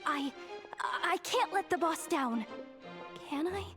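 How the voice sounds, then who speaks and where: A woman voicing an eager, easily rattled young female underling in a video game, with a nervous, stammering, excitable delivery. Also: very high-pitched, slow pace, over music, full character voice. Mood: confused, anxious. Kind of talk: animated video game voice acting.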